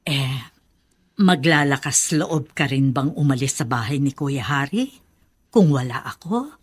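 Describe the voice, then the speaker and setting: A woman's voice: extremely low pitch, lively intonation, unhurried, breathy edge, full character voice. A woman playing a kindly, practical older Filipino aunt in a radio drama. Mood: probing.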